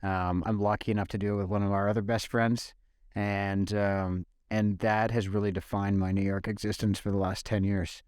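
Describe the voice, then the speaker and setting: A man's voice: on the low side, level tone, moderate pace, darkish tone, smooth, no echo, clean studio sound. A man from Ontario, Canada, in his forties, co-hosting a casual podcast with an upbeat, enthusiastic manner. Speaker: podcast co-host.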